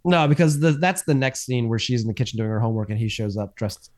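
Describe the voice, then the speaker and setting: A man co-hosting a podcast, quick to correct details with emphatic repeated words in casual chat. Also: medium pitch, expressive, a little mellow. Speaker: podcast co-host recapping a sitcom episode.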